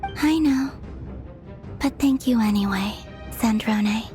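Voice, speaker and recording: A woman playing a gentle, world-weary young moon goddess in a video game, calm and wistful. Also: fairly high pitch, slow, faint music behind. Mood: grateful, calm.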